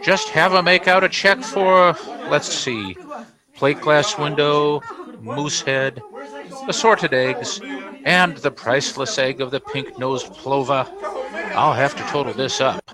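An actor playing a pompous, indignant store proprietor in 1940s radio comedy, speaking formally and exactingly. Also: male voice, medium pitch, takes it slowly, a crowd in the background, lightly acted. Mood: brisk, exacting. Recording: vintage radio broadcast.

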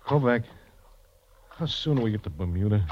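An actor playing a good-natured, plucky wounded sailor with informal, slangy speech in an old-time radio drama on a dull recording. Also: male voice, fairly low pitch, lively intonation, normal speed, darkish tone, slight background noise, natural voice. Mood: weakly cheerful.

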